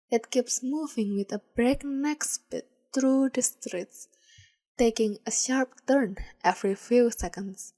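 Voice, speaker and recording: Woman, podfic reader, calm measured storytelling, fairly high voice. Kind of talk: reading fan fiction aloud.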